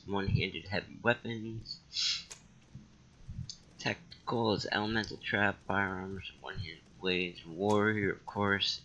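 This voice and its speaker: A man's voice: low voice, bright, forceful tone, dull audio, slight background noise. A man hosting a casual let's play, musing and thinking aloud as he goes. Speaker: gaming channel host.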